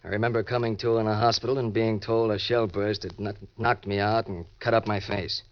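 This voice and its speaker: An American actor playing a hard-boiled, cocky ex-convict obsessed with money in an old-time radio crime drama, talking in tough slang. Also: male voice, fairly low pitch, flat delivery, brisk, close to an everyday voice. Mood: defiant, curt. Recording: dull vintage radio recording with music.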